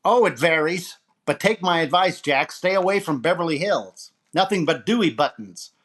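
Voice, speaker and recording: Radio variety host, played by a man, wisecracking and theatrical, very lively intonation. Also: a little high, moderate pace. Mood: bantering. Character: wisecracking male comedian hosting a radio variety show. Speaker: radio show host and comedian. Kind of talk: 1940s radio comedy recreation.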